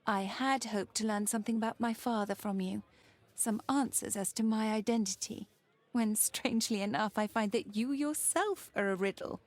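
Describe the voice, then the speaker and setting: A woman playing a self-possessed, enigmatic young woman in a video game drama, speaking in a measured, eloquent way. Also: medium pitch, lightly acted. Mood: composed, wistful.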